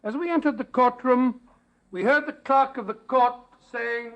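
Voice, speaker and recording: An actor playing a genial, avuncular elderly British doctor who chats and reminisces in 1940s radio drama style. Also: male voice, very high voice, measured pace, fairly bright tone, lo-fi sound, heavily acted. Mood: storytelling. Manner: avuncular, old-fashioned, chatty. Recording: vintage 1940s radio recording.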